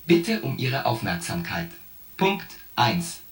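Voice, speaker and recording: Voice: medium pitch, sing-song, slow. An actor voicing a shipboard artificial intelligence in a science fiction radio play, coldly logical and pedantic as it itemizes its points. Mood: coldly analytical. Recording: roomy echo, distant voice, music behind.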